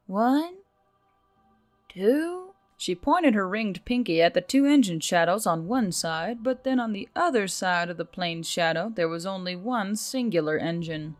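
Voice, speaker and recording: A woman's voice: lowish voice, lively intonation, moderate pace, hardly any pauses. A woman reading scripted story narration as the narrator, with music behind her.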